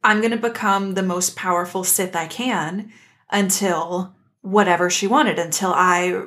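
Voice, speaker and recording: A woman's voice: on the low side, fairly even intonation, unhurried, fairly bright tone. A woman co-hosting a conversational discussion show, speaking in a thoughtful, articulate and reflective way. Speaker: podcast co-host. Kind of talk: conversational discussion of an animated series.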